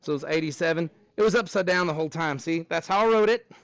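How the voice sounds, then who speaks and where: A man's voice: fairly high pitch, fast talker, fairly bright tone, no room sound. A man with a Texan accent teaching a middle school math class, chatty and joking with his students between problems.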